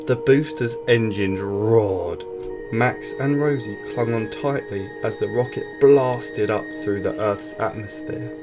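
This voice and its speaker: Slow-paced man reading a children's story over music. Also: lowish voice. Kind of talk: read-aloud scripted story narration, expressive. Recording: music bed behind voice. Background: background music.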